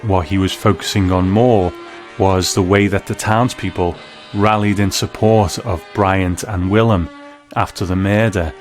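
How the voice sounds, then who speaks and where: Male host, low voice, scripted commentary, quoted readings, light music behind. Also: fairly even intonation, unhurried.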